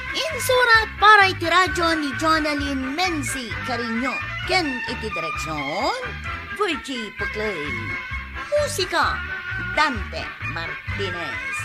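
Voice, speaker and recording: A woman announces a radio drama in a high voice with an Ilocano accent. Her theatrical delivery is slow and sweeps widely in pitch, over loud music. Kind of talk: theatrical scripted narration and credits.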